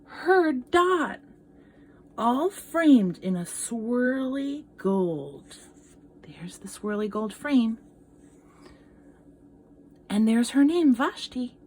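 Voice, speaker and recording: Female art teacher, slow animated children's read-aloud, engages young listeners, some background noise. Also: on the high side. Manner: expressive, addresses young listeners with questions. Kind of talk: children's picture book read-aloud with asides.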